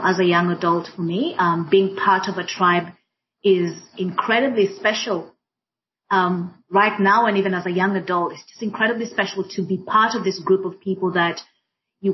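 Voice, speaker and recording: A woman from Kenya, a diversity and inclusion practitioner speaking thoughtfully on a panel, pausing often with fillers. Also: medium pitch, slight background noise. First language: Swahili. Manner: thoughtful, many fillers and restarts. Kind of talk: reflective panel conversation. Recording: dull lo-fi audio.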